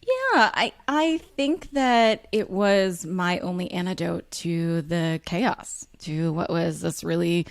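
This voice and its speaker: A woman, talking candidly as an interview guest, frank and self-deprecating with lots of fillers and quick laughs. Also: lowish voice, lively intonation, vocal fry, no echo. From United States. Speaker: author and guest.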